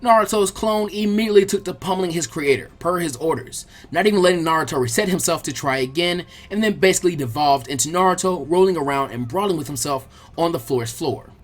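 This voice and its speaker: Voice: medium pitch, expressive, quick pace, hardly any pauses. A narrator reading an action story expressively from a script. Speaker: audiobook narrator.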